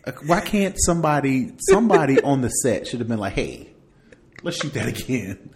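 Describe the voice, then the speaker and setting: A man co-hosting a podcast in casual chat, animated and emphatic, often repeating words to drive a point home. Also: mid-range voice, normal speed, a little hiss or hum. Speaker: podcast co-host.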